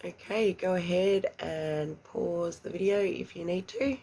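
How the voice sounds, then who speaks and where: A woman's voice: on the low side, fairly even intonation, unhurried, vocal fry, warm tone. A woman giving a pick-a-card tarot reading, chatty and a little congested, murmuring questions to spirit under her breath. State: congested after an illness. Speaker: tarot reader.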